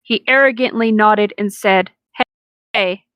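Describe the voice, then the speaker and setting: A woman's voice: a little high, a little slow, a bit bright, no room sound. A woman doing a comic read-aloud with casual, irreverent, incredulous commentary. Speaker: co-host and reader.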